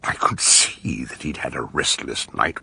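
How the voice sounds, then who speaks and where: An actor playing a shrewd, commanding older British detective who also narrates a radio drama, gravelly and husky, with a theatrical, emphatic delivery. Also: male voice, extremely low pitch, expressive, unhurried, crowd noise, heavily acted. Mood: measured narrating.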